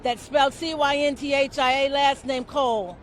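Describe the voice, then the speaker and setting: A woman, a union director and community advocate, gives a loud, forceful rally speech with a preacherly cadence. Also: sits high, steady tone, moderate pace, a faint crowd, slight background noise.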